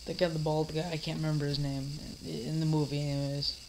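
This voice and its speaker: A man's voice: a little high, level tone, quick pace, background noise. A teenage boy coming down with a cold, rambling casually through a home vlog with lots of fillers and restarts. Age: teens. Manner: mock-scolds an imaginary heckler. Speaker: vlogger. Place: dim living room, seated in a recliner.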